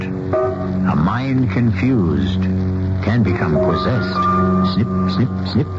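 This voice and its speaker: An actor as the ominous, knowing host of a radio mystery, narrating in a deliberate, theatrical way. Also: male voice, low voice. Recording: dull old radio recording under music.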